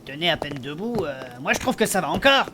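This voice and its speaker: A woman's voice: lowish voice, sing-song, quick pace, background noise. A woman playing a grumbling, worried innkeeper turned reluctant adventurer in a comic fantasy audio drama, blunt and sarcastic.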